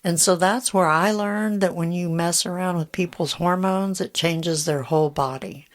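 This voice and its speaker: Middle-aged woman, low deep voice, candid joking podcast co-host. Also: moderate pace. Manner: candid, joking, laughs easily. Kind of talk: casual chat.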